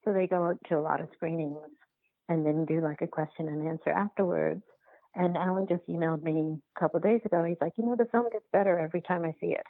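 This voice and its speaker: A woman filmmaker answering interview questions in a halting, reflective way, often circling back to rephrase, over a phone-like line. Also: on the low side, fairly even intonation, gravelly, dry recording. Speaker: documentary filmmaker being interviewed.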